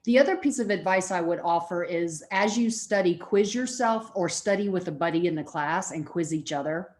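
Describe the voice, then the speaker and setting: A woman's voice: fairly low pitch, normal speed, darkish tone. A middle-aged woman giving an informal college lecture, explaining things in a conversational way.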